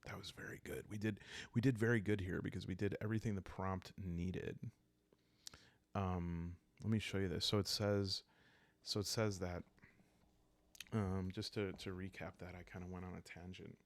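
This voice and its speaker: A man calmly narrating a solo roleplaying game as he plays, improvising the story in an unhurried, hushed way with dramatic pauses. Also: low voice, vocal fry, no room sound.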